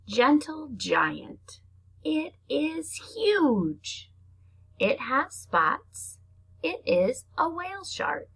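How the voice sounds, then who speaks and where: A woman's voice: high-pitched, very animated, drawn-out words, vocal fry, a bit bright. A woman teacher reading aloud to young children, explaining things simply in chatty asides between carefully read sentences. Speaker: elementary teacher reading to pupils.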